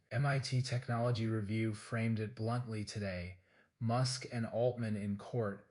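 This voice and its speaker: A man reading scripted business news as a host, in a formal, measured delivery. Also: lowish voice.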